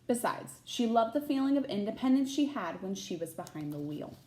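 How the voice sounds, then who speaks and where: A woman reads a storybook aloud as a teacher would, with very animated pitch. She speaks to young listeners and invites them to wonder along. The room around her voice is noticeably echoey. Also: brisk, a little hiss or hum. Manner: addresses young listeners with questions. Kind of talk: expressive read-aloud of a children's picture book with chatty asides.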